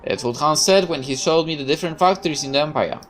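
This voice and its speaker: A woman reading aloud as a naive young male soldier in a visual novel, speaking low and hesitantly. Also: fast, soft background music, natural voice.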